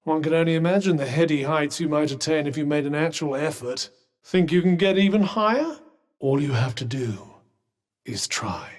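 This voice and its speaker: An actor voicing a snooty, sardonic talking magical cuff in a video game, with a refined British accent and a dry, pompous manner. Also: male voice, fairly high pitch, moderate pace, lightly acted. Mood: mocking, goading. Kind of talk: game companion combat barks. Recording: long hall-like reverb.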